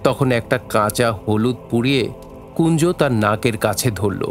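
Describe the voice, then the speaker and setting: A man with a medium-pitched voice narrates an audio drama. He reads scripted dramatic prose as storyteller, over faint music. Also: moderate pace.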